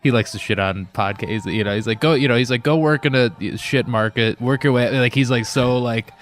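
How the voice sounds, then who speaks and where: Man, 20s, mid-pitched voice, stand-up comic, rambling, lots of fillers, casual chat at a burger bar. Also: a little hiss or hum. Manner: rambling, quick, heavy on fillers like "like" and "you know". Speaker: stand-up comedian. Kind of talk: casual chat over a meal.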